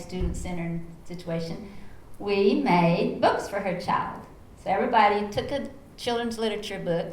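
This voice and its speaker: A middle-aged woman with a Texas accent, speaking on a conference panel and telling stories from her teaching in an animated way. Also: mid-range voice. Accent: Texan. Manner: animated storyteller, acts out her own quoted lines. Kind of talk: panel talk, personal storytelling. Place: conference room. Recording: echoey room, faint background noise.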